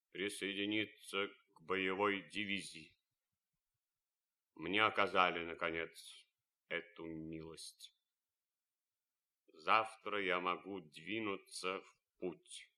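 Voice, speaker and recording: An actor playing an anguished, idealistic soldier torn by conscience in a radio drama, theatrical and swinging from hushed to fervent. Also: male voice, sits low, full character voice. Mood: subdued, despairing. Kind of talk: radio drama acting, impassioned monologue.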